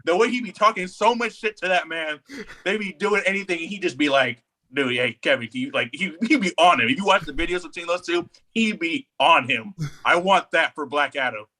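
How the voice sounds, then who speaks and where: A man with a very bright, forward voice, pitched a little high. He talks as a podcast co-host, casually and with animation, full of slang and firm opinions. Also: a little fast. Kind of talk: casual pop culture chat.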